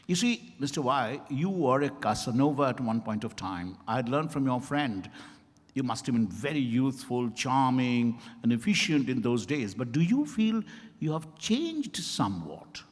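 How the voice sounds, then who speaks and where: An actor playing a courteous, insistent doctor of law in a theatrical stage reading. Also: male voice, mid-range voice, very animated. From India. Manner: deliberate, questioning, theatrical. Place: event hall at a hotel. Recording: echoey hall with long reverb.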